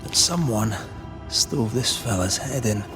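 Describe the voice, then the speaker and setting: Slow, blunt male ghost investigator. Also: on the low side, expressive, over music. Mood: grim deduction. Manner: measured, blunt. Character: stern, plain-spoken male investigator of ghosts. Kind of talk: video game drama acting.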